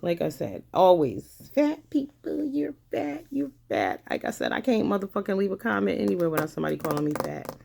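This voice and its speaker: A woman's voice: mid-range voice, sing-song, a little fast, vocal fry, warm tone. A woman vlogger ranting to camera, blunt and confrontational, swearing often and hammering points home by repeating them. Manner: blunt, profane, confrontational, repeats phrases for emphasis. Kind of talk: angry ranting monologue to camera.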